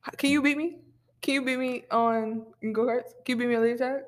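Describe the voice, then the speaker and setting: A woman's voice: a little high, steady tone, fast talker. A young woman co-hosting a podcast, chatting casually in a blunt, playful way and laughing often. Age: young adult. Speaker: podcast co-host. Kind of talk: casual chat.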